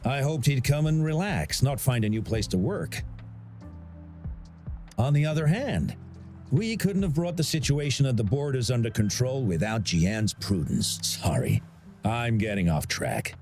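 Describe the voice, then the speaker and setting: A man's voice: medium pitch, measured pace, faint music behind. An actor playing a genial, talkative older man who directs a sporting festival in a video game. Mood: rueful, brisk. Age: middle-aged. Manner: genial, chatty, expressive.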